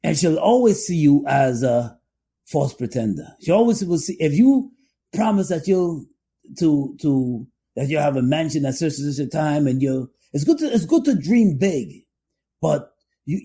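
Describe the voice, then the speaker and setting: Man, podcast co-host, slightly high pitch, husky, mellow, halting and repetitive delivery. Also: sing-song. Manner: halting, repeats phrases as he goes. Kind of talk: conversational relationship discussion.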